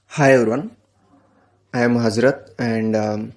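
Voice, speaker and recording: A man's voice: medium pitch, fairly even intonation, a little slow. A young man hesitantly recording a self-introduction as a student presenter.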